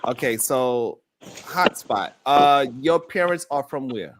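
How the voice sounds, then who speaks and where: A man's voice: medium pitch, expressive, slow, very noisy. A man hosting a live call-in talk show, who keeps breaking in to restore order and speaks bluntly with swearing. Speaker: host.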